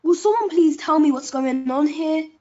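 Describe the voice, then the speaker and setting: A woman playing an eager, naive young gossip in a biblical audio play, curious and excitable. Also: sits high, normal speed, highs cut off, natural voice. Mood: puzzled.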